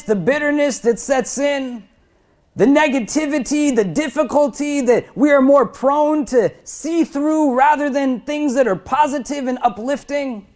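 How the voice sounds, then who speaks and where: A man who is an imam, giving a sermon to a crowded prayer hall. He builds through lists and questions, rising in pitch and loudness, then drops low to land each point. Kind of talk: Friday sermon.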